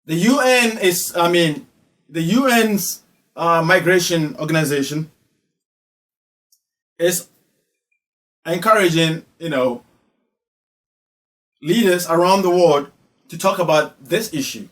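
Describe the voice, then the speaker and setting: A man's voice: a little high, slow pace, pauses often, fairly bright tone. A man from Ghana with a Ghanaian accent giving spoken social commentary, emphatic and repeating phrases for effect. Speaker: online commentator.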